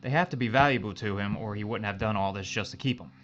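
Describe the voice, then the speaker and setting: A man's voice: on the low side, fast, a bit bright, dull audio, a little hiss or hum, natural voice. A man playing a loyal, wry spaceship crewman and tinkerer in an audio drama, talking casually. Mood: wry, practical.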